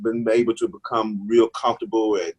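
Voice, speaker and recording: A middle-aged comedian from Mississippi with a strong Southern accent and a slight lisp, chatting casually in a laid-back, drawling way. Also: male voice, fairly low pitch, little pitch movement, a little fast, darkish tone, rough recording. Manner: laid-back, drawling, says "man" a lot. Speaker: stand-up comedian guest. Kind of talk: casual interview chat.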